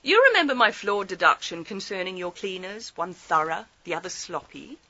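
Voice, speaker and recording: An actress playing a sharp lady detective in a radio mystery, politely needling with chatty, digressive, mock-innocent hesitations. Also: medium pitch, expressive, normal speed, lo-fi sound. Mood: probing, coy. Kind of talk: scripted radio mystery acting.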